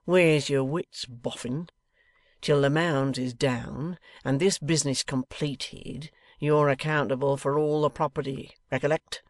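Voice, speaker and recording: A man's voice: medium pitch, steady tone, a little slow, dry recording, studio quality, close to an everyday voice. Man voicing a sly, sneering, bullying Victorian rogue. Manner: contemptuous, blustering, colloquial Cockney turns of phrase. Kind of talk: dramatised audiobook character voice.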